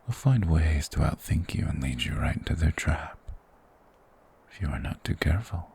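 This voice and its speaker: A man performs a mysterious monster who lives secluded in the woods, for an ASMR fantasy roleplay. The voice is extremely deep and heavily creaky, wrapped in a very husky, breathy whisper. The creature speaks in measured, old-fashioned courtesy, softly persuasive and quietly eerie. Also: animated, full character voice. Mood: quietly ominous.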